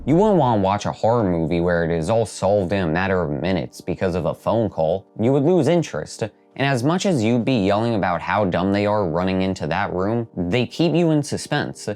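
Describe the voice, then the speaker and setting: A man's voice: low-pitched, very animated, fairly quick, few pauses, darkish tone. A man hosting a video essay, reading scripted narration. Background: background music.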